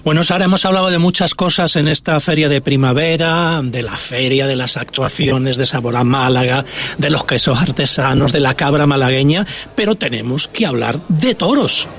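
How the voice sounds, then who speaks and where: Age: adult. Sex: man. Role: chatty radio host. Pitch: fairly high. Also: normal speed, fairly bright tone. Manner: chatty, informal. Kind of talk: live radio interview. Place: fair broadcast booth.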